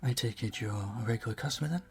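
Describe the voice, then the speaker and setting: A man's voice: mid-range voice, quick pace, natural voice. An actor playing a charming, flirtatious vampire bartender in an intimate audio roleplay, suave and teasing as he speaks to the listener. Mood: welcoming, smooth.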